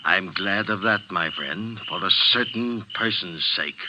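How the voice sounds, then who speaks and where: An actor playing a frail, elderly, indignant professor in an old radio drama, formal and protesting in manner. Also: male voice, low-pitched, measured pace. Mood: troubled. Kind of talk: 1940s radio acting. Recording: noisy, muffled old radio recording.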